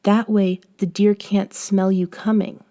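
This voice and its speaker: A woman performs a young woman coaching her friend in an intimate audio roleplay. Her voice is mellow and soft, and she takes her time, measured and patient with a bossy, gently chiding edge. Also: mid-range voice, little pitch movement, close to an everyday voice. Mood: patient, teasing. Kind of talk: scripted roleplay audio, one-sided conversation.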